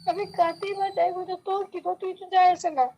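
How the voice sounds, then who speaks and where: A woman's voice: very high voice, fairly even intonation, fast talker, a little mellow. A young woman speaking curtly and reproachfully in a casual conversation over a noisy phone call. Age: young adult. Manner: curt, reproachful.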